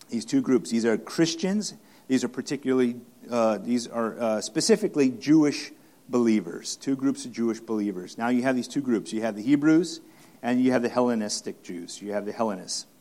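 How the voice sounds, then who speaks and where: Halting male preacher, steady mid voice. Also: mid-range voice. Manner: halting, many restarts and fillers, repeats phrases for emphasis. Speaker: pastor. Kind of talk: expository sermon teaching.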